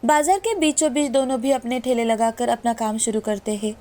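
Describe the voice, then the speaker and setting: A woman's voice: a little high, a little fast, a little mellow. A woman narrator reading a scripted moral tale in storytelling style.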